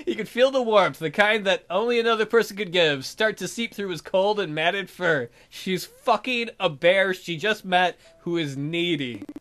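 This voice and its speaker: A man's voice: sits high, moderate pace, few pauses, a bit bright. A man hosting a let's play, reading a visual novel aloud and breaking off to add irreverent, amused comments.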